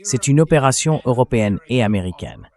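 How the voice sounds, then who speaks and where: A man's synthetic AI dubbing voice giving measured, analytical political commentary in a translated interview. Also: mid-range voice, big pitch swings, gravelly, warm tone.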